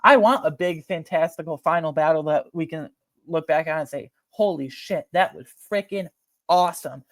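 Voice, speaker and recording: A man hosting a film ranking video, talking informally and emphatically with slang and a halting, opinionated delivery. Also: a little high, fairly bright tone, dry recording. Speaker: video host ranking films.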